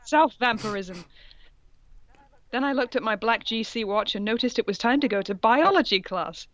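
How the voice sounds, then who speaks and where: A woman's voice: a little high, expressive, moderate pace, a bit bright, dull audio. A woman co-hosting a comedy show, casually reading fan fiction aloud and commenting on it. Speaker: co-host reading the female parts.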